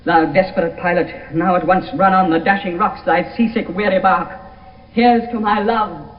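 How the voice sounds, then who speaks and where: A man performing a grief-stricken, desperate young lover in a classical radio play. His fairly high voice declaims verse with passion. The old broadcast is muffled and echoey. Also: fairly even intonation, moderate pace, distant mic, natural voice. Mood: tender despair. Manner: theatrical, impassioned, declamatory. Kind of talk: 1970s radio Shakespeare acting, verse.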